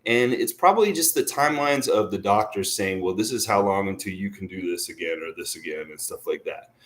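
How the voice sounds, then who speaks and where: A man's voice: lowish voice, animated, fairly quick. A man talks off the cuff like a devoted sports fan. He is enthusiastic and rambling, often circling back to repeat a point for emphasis. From Canada. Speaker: sports vlogger, basketball fan commentator. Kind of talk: casual unscripted fan commentary.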